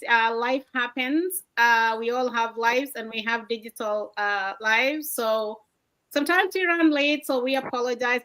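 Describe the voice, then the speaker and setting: Bright-voiced Kenyan-born woman host. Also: high voice. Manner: upbeat, welcoming, hands over between guests. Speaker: livestream host. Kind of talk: motivational livestream talk. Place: home, indoors at night.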